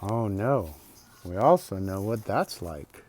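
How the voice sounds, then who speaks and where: A man reads a picture book aloud to children in a mellow voice. His pitch rises and falls expressively, and he stretches words, pauses and slips in casual, chatty asides. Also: lively intonation. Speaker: storybook reader.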